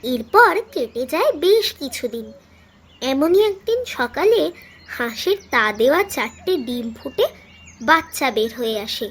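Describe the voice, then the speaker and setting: A woman's voice: high-pitched, sing-song, a little slow. A woman narrating a children's cartoon story in a scripted storytelling style.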